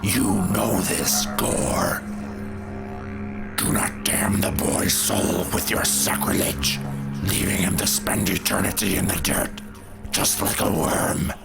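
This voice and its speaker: A deep, gravelly, pious zealot. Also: male voice, takes it slowly, heavily acted. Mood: stern reproach. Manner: solemn, admonishing. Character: stern, pious alien tribesman, zealous and condemning. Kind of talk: dramatic motion comic voice acting. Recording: loud music behind.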